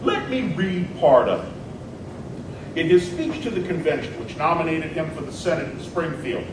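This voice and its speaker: A man playing a combative 19th-century American senator, delivering a dramatic debate speech in an emphatic, deliberate oratorical manner. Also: sing-song. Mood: declamatory. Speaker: performer in a historical dramatic reading. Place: large echoey indoor hall, recorded from afar. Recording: very echoey hall, distant mic, rumbling noise.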